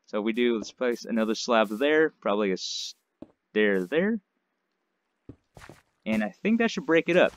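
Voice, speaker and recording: A man's voice: mid-range voice, big pitch swings, unhurried, gravelly, pauses often. A man hosting a survival game series, chatting casually with lots of fillers while sounding stuffed up from allergies. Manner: chatty, rambling, many fillers. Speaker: gaming channel host. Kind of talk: casual let's-play commentary.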